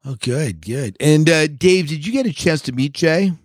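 A man's voice: on the high side, lively intonation, moderate pace, no echo, clean studio sound. A man hosting a podcast in a casual, chatty co-host style.

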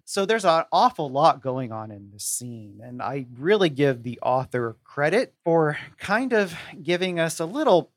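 A man guesting on a podcast, discussing a book casually in a measured, analytical way. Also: a little high, sing-song, no echo, clean studio sound.

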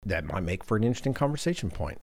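Very deep-voiced man hosting a podcast. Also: sing-song, racing, a little mellow, breathy edge. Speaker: podcast host. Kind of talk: casual conversational podcast talk.

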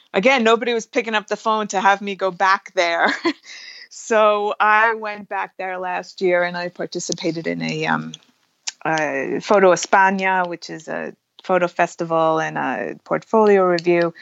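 Lowish-voiced woman, dry and slightly dull recording. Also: fairly bright tone, dry recording. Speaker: photographer and workshop teacher, interview guest. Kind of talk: casual interview chat.

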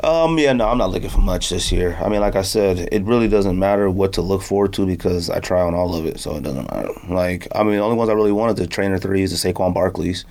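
A man's voice: low voice, fast, hardly any pauses. A man hosting a podcast, chatting casually and informally with lots of slang. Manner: animated, slangy, informal talk. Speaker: podcast host. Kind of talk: casual podcast chat about sneakers.

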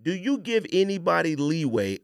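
A man's voice: a little high, sing-song, normal speed, creaky, smooth, no room sound, studio quality. A man hosting a casual podcast, giving a blunt, emphatic, opinionated rant peppered with rhetorical questions. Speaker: podcast host.

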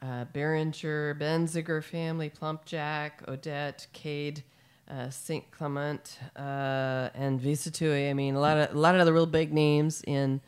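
A woman hosting a casual interview, chatty and quick to laugh. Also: sits low, flat delivery, clear, resonant tone. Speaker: podcast host.

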